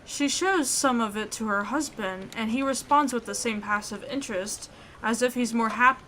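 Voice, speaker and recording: A woman reading a visual novel aloud in an expressive way, with reactions as a gaming YouTuber. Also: a little high, normal speed, a little hiss or hum, poor audio.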